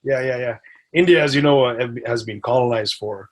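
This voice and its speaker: Chatty guest type, man in his 40s from Dubai, husky, easygoing storyteller. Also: mid-range voice, fairly quick. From grew up in Dubai. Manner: chatty, tags lines with "right?" and "you know". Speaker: podcast guest. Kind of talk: casual interview storytelling.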